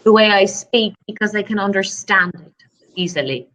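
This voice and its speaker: A young woman tutoring English over a video call, patient and relaxed, with a lot of fillers. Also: mid-range voice, moderate pace, poor audio. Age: young adult. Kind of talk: casual conversation lesson.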